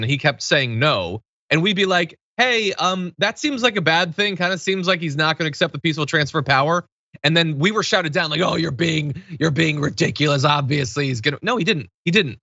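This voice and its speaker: Male political commentary host, bright and punchy, sardonic and conversational. Dry, slightly muffled sound. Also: a little high, no room sound. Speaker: talk show host.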